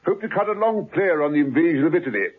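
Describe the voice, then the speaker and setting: Brigadier Whitehead, a husky old blusterer. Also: male voice, a little high, animated, fast talker. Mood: genial. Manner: blustering, fussy, repeats himself. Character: pompous older male retired brigadier. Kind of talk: 1970s radio drama acting. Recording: tinny old radio broadcast.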